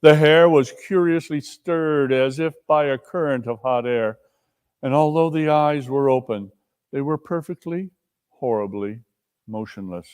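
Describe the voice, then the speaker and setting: A man narrating a classic story in a deliberate, suspenseful dramatic reading. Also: medium pitch, a little mellow, clear, resonant tone. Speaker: narrator and performer.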